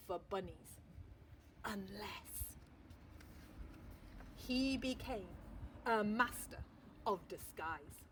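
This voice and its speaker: A woman teacher reading a picture book aloud, expressive storytelling with dramatic pauses. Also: fairly high pitch, slight background noise, low-quality recording. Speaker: primary school teacher.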